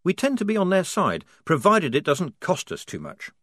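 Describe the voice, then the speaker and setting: Man doing a nervous newspaper editor, polite, chatty, persuasive, lively melody, dry close sound. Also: on the high side, normal speed, no room sound, pitched above the performer's own voice, full character voice. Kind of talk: audiobook character voice.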